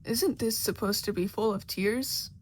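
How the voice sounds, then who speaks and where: A woman's voice: medium pitch, unhurried, slight background noise, lightly acted. Woman as a bewildered, jumpy storybook rabbit. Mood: dreamy, bewildered. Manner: dreamy, bewildered, flustered.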